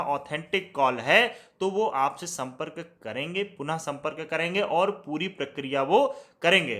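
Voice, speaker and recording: A man's voice: on the high side, pushed and bright. A man giving a casual, conversational explainer to viewers as a video host, in a reassuring way. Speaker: YouTube tutorial host.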